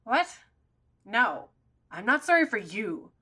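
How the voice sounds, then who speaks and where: An exasperated female sidekick for an audio drama. Her voice sits a little high, and she talks bluntly, with a scolding, sardonic edge. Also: big pitch swings, slow pace, pushed and bright, slightly rough, heavily acted.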